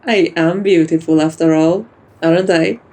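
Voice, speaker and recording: A woman playing a kind, dominant vampire in a soft-spoken ASMR roleplay, teasing and doting as if talking to a pet. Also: low voice, steady tone, moderate pace. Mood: teasing, flirtatious.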